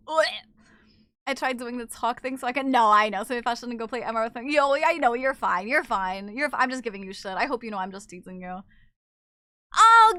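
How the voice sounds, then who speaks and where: A woman streamer chatting casually with her viewers in an animated, teasing way. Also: on the high side, no room sound. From not from Japan. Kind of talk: casual live-stream chat.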